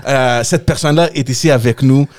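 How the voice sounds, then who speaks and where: A man's voice: medium pitch, a little fast, darkish tone, no room sound. Emphatic man chatting in Quebec French. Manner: emphatic, repeats phrases. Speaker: podcast co-host. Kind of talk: casual chat.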